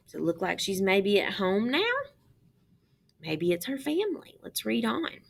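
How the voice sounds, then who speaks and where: A woman reading a picture book aloud as a teacher, pausing to explain and ask young children questions. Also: mid-range voice, sing-song, vocal fry.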